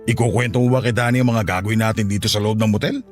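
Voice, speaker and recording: An actor playing a lustful, coaxing middle-aged Filipino widower in a Tagalog radio drama. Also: male voice, fairly low pitch, steady tone, rapid-fire, soft background music, natural voice. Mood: coaxing, sly. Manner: suggestive, coaxing, chuckling seducer.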